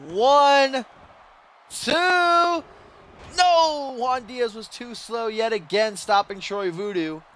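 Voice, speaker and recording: A young man giving profane, excitable play-by-play of a video game wrestling match, grumbling one moment and yelling the next. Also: very high-pitched, very slow. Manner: swings from dry grumbling to yelling big moves; profane, teasing.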